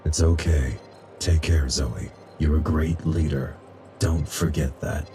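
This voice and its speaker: A man playing a calm, weary, quietly kind warrior in a video game, speaking in a terse, understated way. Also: extremely low pitch, slow, over music. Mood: gentle, subdued.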